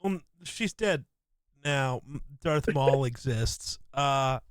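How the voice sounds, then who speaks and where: A man co-hosting a casual podcast, an excitable fan who swears casually. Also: medium pitch, slow pace, smooth, no room sound. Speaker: podcast co-host. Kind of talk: casual chat.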